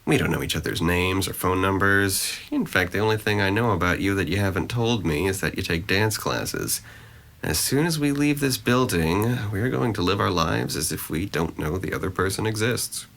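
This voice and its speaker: A man's voice: sits low, animated, moderate pace, creaky, a bit bright, full character voice. A man acting a guarded, gloomy young man in an audio drama, with a dry, sarcastic, wordy delivery. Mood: dry, resigned. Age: young adult.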